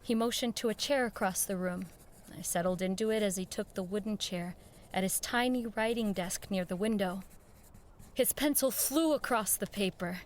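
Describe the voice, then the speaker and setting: A woman's voice: medium pitch, a little hiss or hum, close to an everyday voice. An actress playing an anxious, earnest woman who recounts a horrifying ordeal in an intense, theatrical audio drama style. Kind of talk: dramatic first-person horror narration with acted dialogue. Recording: music under the voice. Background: dramatic music.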